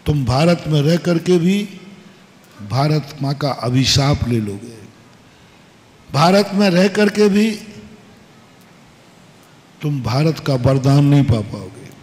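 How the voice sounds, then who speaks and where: A man preaching a sermon as a monk, slow and emphatic, posing rhetorical questions and repeating short maxims. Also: mid-range voice, a little mellow. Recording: long hall reverb.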